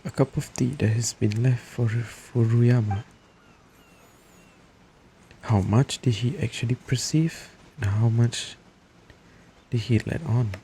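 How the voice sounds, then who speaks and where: A man's voice: medium pitch, slow pace, long pauses, mellow, slight background noise, poor audio. A young man giving casual gameplay commentary in short, slangy, murmured reactions.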